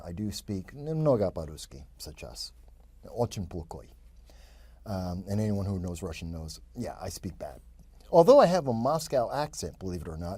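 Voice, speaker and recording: A man's voice: on the low side, warm tone, no echo. A man, chatting as an interview guest and rambling with many fillers and restarts. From the Bronx, New York. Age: 50s. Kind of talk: casual interview talk.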